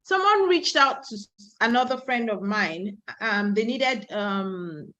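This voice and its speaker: A woman's voice: medium pitch, expressive, unhurried, bright, forceful tone, dull audio. A woman in her thirties from Nigeria, giving a conference talk and speaking in a storytelling way, with asides. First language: Yoruba. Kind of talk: presentation talk.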